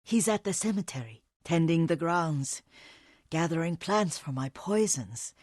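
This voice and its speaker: Elderly female caretaker, game drama: gentle, wistful, devoted, slightly low husky voice, halting slow delivery. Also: animated, no room sound, clean studio sound. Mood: fond, matter-of-fact. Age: older. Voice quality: husky, breathy. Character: frail, devoted elderly Japanese woman caretaker and herbalist. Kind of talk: dramatic video game voice acting.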